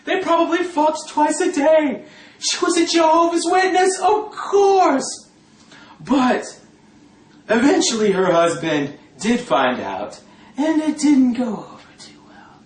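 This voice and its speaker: Man, roomy echo, slightly distant mic, slow gossipy storytelling, sardonic and foul-mouthed. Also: very high-pitched. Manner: animated, breaking often into laughter. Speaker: storyteller talking about a past religious community. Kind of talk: informal personal storytelling monologue.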